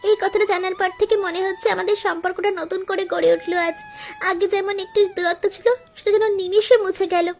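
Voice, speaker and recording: A woman's voice: extremely high pitch, fairly even intonation, fast talker, faint music behind. A woman playing an eager, sentimental younger sister and daughter-in-law in a cartoon story, speaking earnestly. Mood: moved.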